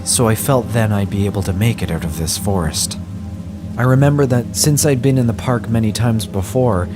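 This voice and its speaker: A man reading a scripted first-person horror story in a measured, grave way. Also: fairly low pitch. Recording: music bed under the voice.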